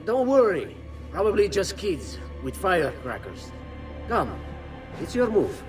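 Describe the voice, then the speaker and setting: A scheming Italian official for a video game, with a high voice that slides around a lot. He is wheedling and insinuating, with faint music behind. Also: huge pitch swings, measured pace, full character voice. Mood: soothing. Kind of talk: video game cutscene acting.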